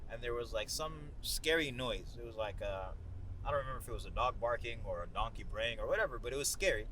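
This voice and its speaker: A man's voice: medium pitch, sharp tone, poor audio. Man, mid-range voice, casual explainer with filler-heavy phrasing, recorded in a car with noticeable road noise. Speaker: discussion participant explaining his ideas. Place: inside a moving car.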